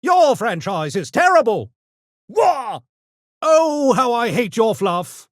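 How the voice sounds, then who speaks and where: An actor voicing a smug, erudite genius gremlin for a video game, with pompous, mock-genteel, theatrical diction that tips into gleeful mania. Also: male voice, extremely high pitch, very animated, slow pace, no room sound, studio quality, heavily acted. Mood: manic, shouting. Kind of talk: video game character voice lines.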